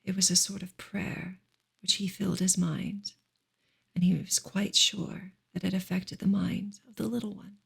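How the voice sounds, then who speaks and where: A woman reading a novel aloud in a soft, hushed, breathy ASMR style, often slipping into a whisper. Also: mid-range voice, flat delivery, normal speed. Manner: hushed, gentle delivery.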